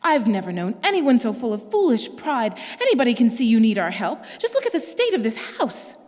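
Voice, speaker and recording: A proud, meddling older sister as a woman reader puts her on, her voice pitched high and rising when she presses her point. The room carries a long echo behind her. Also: moderate pace. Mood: indignant. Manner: high, pleading, excitable voice. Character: proud, pushy older sister, well-meaning and tearful. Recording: long reverb.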